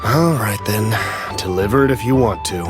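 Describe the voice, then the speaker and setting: Very deep-voiced, courteous woman. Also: expressive, over music. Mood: thoughtful. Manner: polite, measured. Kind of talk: video game voice acting.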